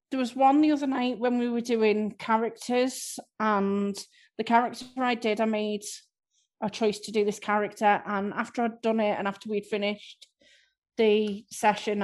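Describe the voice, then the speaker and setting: A woman's voice: medium pitch, darkish tone. A woman from near Liverpool with a light Scouse twang, a guest telling candid stories in a casual chat. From near Liverpool, North West England. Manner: candid, chatty storyteller. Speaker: improv performer, guest. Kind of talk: casual conversation.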